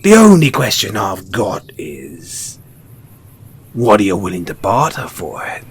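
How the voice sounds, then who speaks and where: A man playing a shrewd, mysterious post-apocalyptic merchant in an audio roleplay, speaking in an insinuating, theatrical way with drawn-out pauses. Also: on the low side, huge pitch swings, slight background noise, exaggerated voice. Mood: wry haggling. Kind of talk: audio roleplay character acting addressed to the listener.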